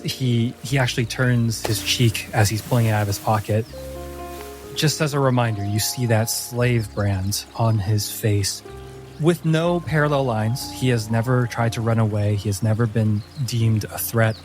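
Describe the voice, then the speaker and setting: A man with a mid-pitched voice acts as game master, telling a fantasy story in descriptive detail. His delivery is very slow and deliberate. Music plays clearly behind him. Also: steady tone. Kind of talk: scripted fantasy narration for a tabletop game. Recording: music under the voice.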